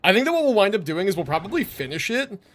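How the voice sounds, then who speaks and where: Animated, higher-voiced man streaming games. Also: fast. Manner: slangy, rambling, swears casually. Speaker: livestream host. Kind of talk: casual gaming stream chat. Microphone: streaming microphone.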